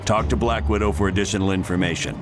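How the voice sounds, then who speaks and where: An actor playing a gruff, businesslike spy commander briefing a team in a video game, matter-of-fact. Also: male voice, fairly low pitch, steady tone, fast talker, lightly acted. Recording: loud music behind.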